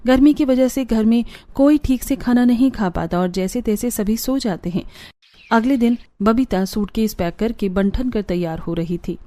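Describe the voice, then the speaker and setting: A woman's voice: on the high side, moderate pace, dark tone, dry recording. A woman reading a scripted moral story as its narrator. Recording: music behind the voice. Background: background music.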